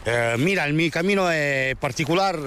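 Italian pilgrim man, halting outdoors. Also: a little high, animated, a bit bright, slight background noise. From Italy. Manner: halting, searching for words. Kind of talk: casual outdoor chat. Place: outdoors on a walking trail.

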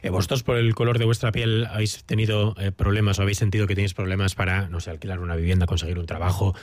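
Brisk, fast-talking male radio host. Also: low voice, flat delivery, no room sound. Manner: brisk, engaged, frequent backchannel. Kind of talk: radio interview and program hosting.

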